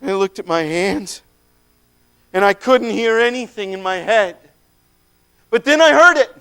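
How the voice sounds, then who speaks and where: Brief: high, smooth voice; a man as a once-possessed man, haunted and fervent, hushed to shouting. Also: lively intonation, a little slow, exaggerated voice. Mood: tender, fervent. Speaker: dramatic monologue performer. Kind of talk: first-person dramatic monologue.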